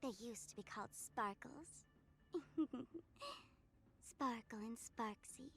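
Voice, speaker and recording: A woman's voice: on the high side, gravelly, a bit bright, soft background music, exaggerated voice. A woman voicing a mischievous, attention-craving young streamer trickster from an anime-style game, playful, teasing and theatrical in delivery. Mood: cheeky, theatrical. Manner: playful, teasing, theatrical, with giggles and drawn-out words. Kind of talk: anime-style video game character voicelines.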